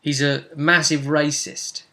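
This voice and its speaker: A man's voice: on the high side, little pitch movement, slow, pushed and bright. A man chatting casually as game master, recapping a tabletop role-playing session and acting out bits of dialogue.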